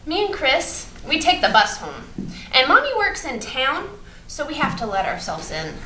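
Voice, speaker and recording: A woman playing an innocent, chatty but fearful first-grade girl in a theatrical monologue, halting and repeating her words. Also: high-pitched, wildly swooping pitch. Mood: proud, chatty. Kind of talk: theatrical monologue at a staged reading. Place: indoor room at a live staged reading. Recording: roomy, distant, noisy live stage recording.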